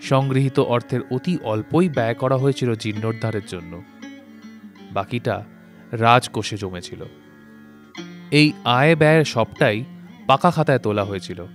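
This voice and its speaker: A man narrates a literary audio story at a middle pitch. His phrasing is measured and scripted, and faint plucked-string music plays behind him. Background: plucked-string instrumental music.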